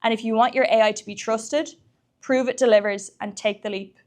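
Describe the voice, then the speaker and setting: A woman's voice: a little high, steady tone, a little fast, a little mellow. A woman speaking as a company executive, giving a presentation at a tech meetup.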